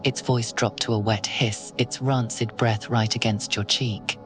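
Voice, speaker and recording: A narrator telling a horror story in second person, slow and ominous. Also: medium pitch, fairly even intonation, over music.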